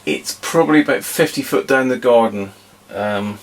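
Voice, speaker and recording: A man's voice: mid-range voice, normal speed. A man giving a casual hands-on demonstration, thinking aloud as he goes. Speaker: vintage radio hobbyist presenter.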